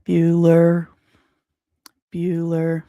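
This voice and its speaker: A woman hosting a casual livestream, talking in an animated, rambling and self-deprecating way. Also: on the low side, drawn-out words, clean tone, dry recording, lo-fi sound. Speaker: livestream host.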